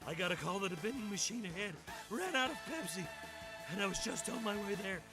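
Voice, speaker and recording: High-pitched pleading delivery man. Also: animated, a little fast. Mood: urgent, pleading. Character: stranded vending machine delivery man pleading with a superhero. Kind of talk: dubbed video game cutscene acting. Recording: game audio with music.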